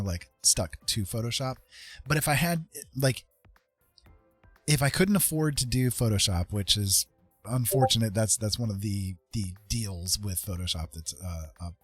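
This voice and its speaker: A man in his fifties chatting casually on a livestream while drawing, in a friendly, rambling way, thinking out loud. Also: lowish voice, breathy edge, no room sound. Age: 50s. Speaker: art livestream host.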